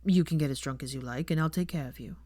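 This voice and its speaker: A woman's voice: low voice, fast talker, dark tone, no echo. A woman voicing a dry, tenderly teasing young man in an audiobook story, murmured and clipped. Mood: dry, embarrassed. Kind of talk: fan fiction audiobook dialogue.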